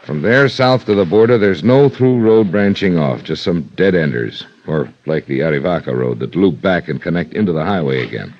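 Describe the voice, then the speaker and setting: Casting: weary highway cop, deep and measured, plain-spoken, muffled vintage radio drama recording. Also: male voice, animated, a little mellow. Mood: explanatory, steady. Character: weary, rueful highway police lieutenant, plain-spoken adult man. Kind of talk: 1950s radio drama acting.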